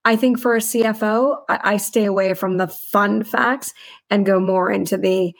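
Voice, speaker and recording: A woman with a noticeably creaky, mid-pitched voice talks as a guest in an interview. She explains things in articulate detail, like a senior finance executive, using plenty of business jargon. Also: fairly even intonation, normal speed. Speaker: chief financial officer, podcast guest.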